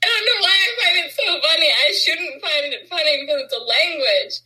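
A woman's voice: high-pitched, expressive, brisk, pushed and bright. A young woman co-hosting a casual podcast, giggly and easily amused. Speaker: podcast co-host.

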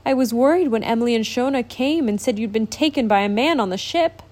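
A caring mother, warm and a little breathless with relief, talking quickly, as a woman reading a storybook puts her on. Her voice sits only slightly above the narrator's own. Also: a little high, close to an everyday voice. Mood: relieved.

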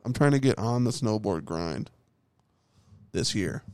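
A man's voice: fairly low pitch, animated, measured pace, a little mellow, clear, resonant tone, dry recording. A young man hosting a podcast in casual banter, teasing and swearing freely. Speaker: podcast host.

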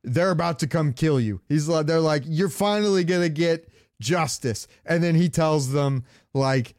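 A man's voice: on the high side, normal speed, a little mellow, no echo, studio quality. A man hosting a casual gaming podcast, talking in an opinionated, rambling way with plenty of swearing. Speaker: podcast host.

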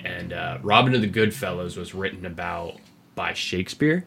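Man, low-pitched, husky, sweary, rambling podcast host, casual game lore chat. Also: normal speed, fairly bright tone, faint music behind.

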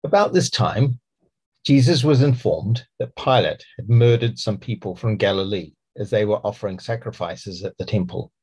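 A man with a New Zealand accent, a church speaker reading scripture aloud for a podcast in a measured, expressive way. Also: lowish voice, breathy edge.

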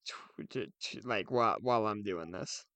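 A man's voice: lowish voice, normal speed, sharp tone, no room sound. Clean-voiced young-sounding podcast man, dry close sound. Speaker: podcast co-host. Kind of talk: casual comedic chat.

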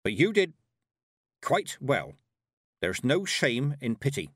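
A man's voice: mid-range voice, big pitch swings, slightly rough, no room sound, clean studio sound, pitched above the performer's own voice, full character voice. A man doing a stern bossy old witch. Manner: brisk, bossy, no-nonsense. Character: stern old woman witch, sharp and commanding.

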